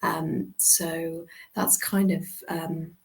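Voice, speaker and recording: A woman's voice: low voice, little pitch movement, very slow, gravelly, low-quality recording. A woman with a head cold, sounding blocked up, explaining an organization's aims on a video call, with many fillers. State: congested with a cold. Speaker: organization representative.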